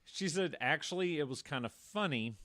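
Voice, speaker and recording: A man's voice: a little high, animated, normal speed, bright, forceful tone, no echo. A man co-hosting a podcast, telling true-crime stories casually in a wordy way full of asides. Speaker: podcast co-host.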